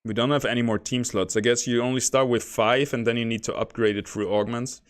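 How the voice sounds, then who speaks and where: A man streaming a game, talking casually in a soft, fairly monotone voice and rambling from one thought to the next. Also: mid-range voice, brisk. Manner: self-described monotone, soft bedroom voice; rambling, chuckles between remarks. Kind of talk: casual gameplay commentary. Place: home.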